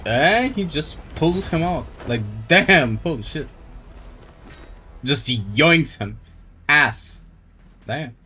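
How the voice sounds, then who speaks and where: A man's voice: a little high, animated, takes it slowly, long pauses, bright, forceful tone, soft background music. A man doing casual livestream commentary on a game, with a crude, slangy, joking manner.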